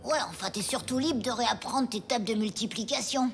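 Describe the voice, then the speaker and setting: Woman voicing a high-pitched cartoon schoolboy sidekick. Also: brisk, close to an everyday voice. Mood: dry, teasing. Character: young schoolboy best friend, dry, teasing, down-to-earth. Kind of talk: French cartoon dialogue.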